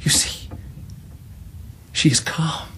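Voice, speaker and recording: Slow, assured Japanese emperor played by a man. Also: whispered, natural voice. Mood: awed certainty. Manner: measured, deliberate short phrases. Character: Japanese emperor, serenely assured, reassuring, awed by wonders. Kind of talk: radio drama acting.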